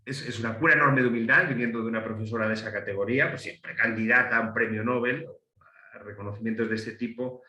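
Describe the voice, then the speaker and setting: A man's voice: medium pitch, steady tone, fast talker, bright, forceful tone. A middle-aged man with a Galician accent, an economist speaking on a panel, hesitant with many fillers and restarts. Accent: Galician Spanish. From Galicia, Spain. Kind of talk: panel talk. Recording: roomy echo.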